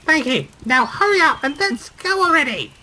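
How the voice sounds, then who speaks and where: A woman's voice: high voice, very animated, moderate pace, sharp tone, slight background noise, full character voice, low-quality recording. A woman voicing a spoiled, haughty young stepsister in a visual novel, snide, bossy and sarcastic. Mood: smug, impatient. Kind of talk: acted reading of visual novel dialogue.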